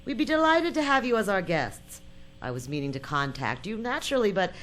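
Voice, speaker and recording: A woman playing a shrewd, bossy outpost chief with a brisk, guarded, commanding manner in a radio drama. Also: mid-range voice, big pitch swings, fairly bright tone. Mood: falsely gracious.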